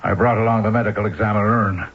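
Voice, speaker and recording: Husky, persistent police inspector. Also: male voice, on the low side, fairly even intonation, very fast. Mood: grave, insistent. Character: persistent, uneasy male police inspector on a case. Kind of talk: old-time radio drama acting. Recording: dull lo-fi vintage radio broadcast.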